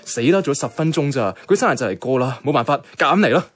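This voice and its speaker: An actor playing a self-effacing young bank worker in a radio drama, speaking casual, colloquial Hong Kong Cantonese. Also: male voice, mid-range voice, animated, fast, slightly rough. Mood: nervous, reflective. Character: soft-hearted, self-effacing young male bank worker, secretly devoted. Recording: music playing behind the voice.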